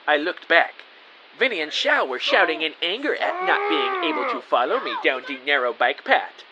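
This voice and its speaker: A man playing an earnest, excitable rookie spy who narrates and acts out his own adventure in an audio drama with eager melodrama. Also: fairly high pitch, moderate pace, highs cut off. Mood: dramatic, anxious. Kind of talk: first-person audio drama narration with dialogue. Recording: faint background hiss.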